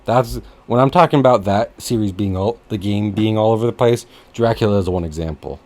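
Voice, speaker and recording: A man hosting a casual podcast chat, rambling and jokey, with easy swearing and an animated delivery. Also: on the low side, brisk. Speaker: podcast host.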